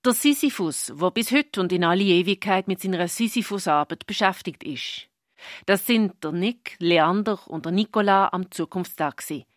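A woman's voice: lowish voice, normal speed, no room sound, studio quality. A woman with a Swiss German accent reading a scripted outro as a radio presenter.

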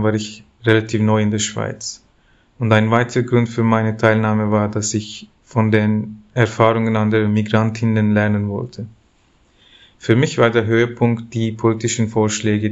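A man from Turkey reads his statement in a low voice that barely rises or falls. The recording sounds slightly dull. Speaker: community member. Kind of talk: prepared personal statement.

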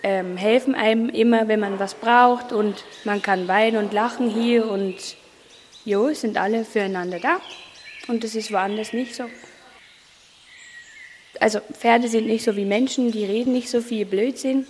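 A woman's voice: fairly high pitch, steady tone, a little slow, a little mellow, big-room sound, a little hiss or hum. A woman in her twenties, an apprentice, answering casually with some hesitation. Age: 20s. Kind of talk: interview answers.

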